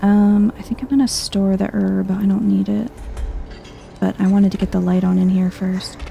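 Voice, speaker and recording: A soft-spoken woman giving chill, low-key ASMR gameplay commentary, murmuring to herself and sometimes slipping toward a whisper. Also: flat delivery, moderate pace, creaky. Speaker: gaming video host.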